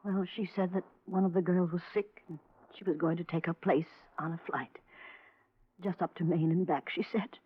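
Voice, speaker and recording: A woman's voice: fairly low pitch, fairly even intonation, normal speed, breathy edge. An actress playing an anxious, grieving middle-aged mother in a 1950s radio drama, speaking in a hesitant, troubled way. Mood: worried. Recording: muffled, phone-like old radio recording.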